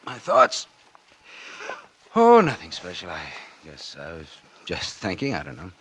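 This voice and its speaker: An actor playing a scheming, smug American publisher in 1940s radio noir, speaking in a sardonic, confiding way. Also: male voice, sits low, wildly swooping pitch, a little slow, fairly bright tone, breathy edge, exaggerated voice. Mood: evasive. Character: scheming, smug publisher narrating his crime, smitten lover. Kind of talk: 1940s radio noir drama acting and narration. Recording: vintage 1940s radio recording with music.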